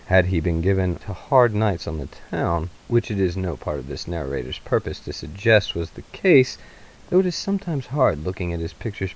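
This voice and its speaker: A man's voice: low-pitched, darkish tone, low-quality recording. A man reading a story aloud as narrator, in a dramatic literary style. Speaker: narrator, reader.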